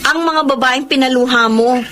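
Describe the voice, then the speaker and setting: A woman's voice: high-pitched, normal speed, a bit bright, slight background noise. An actress playing an aloof, principled young woman with a Filipino accent, frank and disapproving in a radio drama. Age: young adult. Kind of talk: Tagalog radio drama acting.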